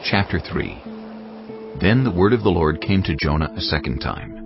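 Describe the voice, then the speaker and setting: A man narrating scripture for a dramatized audio production in a deliberate storytelling manner. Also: deep voice, sing-song. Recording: dull band-limited audio, faint music.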